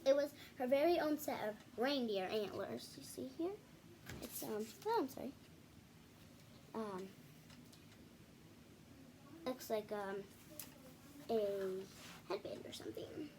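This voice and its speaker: A woman hosting a children's read-aloud video, reading a picture book with casual, halting, self-correcting asides to viewers. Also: a little high, expressive, warm tone, slight background noise. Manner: halting, self-correcting, apologetic while showing pages.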